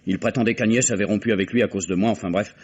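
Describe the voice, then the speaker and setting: A man's voice: lowish voice, steady tone, racing, darkish tone, husky. An actor playing a defensive, dismissive young male suspect in a French radio mystery. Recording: dull old radio recording.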